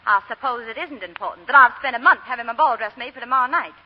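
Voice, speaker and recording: An actress playing a headstrong, spoiled young belle in a 1940s radio drama, theatrical and coquettish. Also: female voice, a little high, fast talker, close to an everyday voice. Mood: indignant, cutting. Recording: muffled 1940 radio broadcast, hall reverb.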